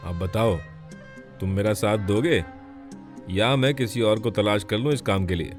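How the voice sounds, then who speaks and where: A man's voice: on the low side, unhurried, soft background music, natural voice. A man playing a scheming gambler and bandit villain in a moral story. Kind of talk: dramatised Hindi moral story.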